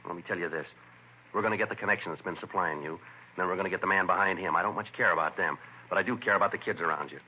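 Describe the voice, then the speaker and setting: An actor playing a stern, matter-of-fact police detective in a 1950s radio drama. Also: male voice, low-pitched, racing, close to an everyday voice. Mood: stern lecturing. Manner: terse, matter-of-fact, authoritative. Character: stern, clipped, no-nonsense male police detective. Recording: muffled, noisy vintage radio recording.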